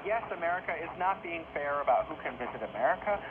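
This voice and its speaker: A man's voice: fairly high pitch, expressive, fast talker. A man talking through opinions in a radio discussion, heard over shortwave. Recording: tinny shortwave radio, heavy static.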